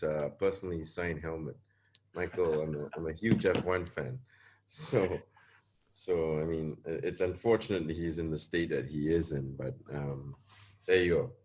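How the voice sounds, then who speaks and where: Low-voiced man on a video call. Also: moderate pace. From Singapore. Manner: hesitant, many restarts and repeated words. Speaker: panelist, security advisor. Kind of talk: webinar panel answer.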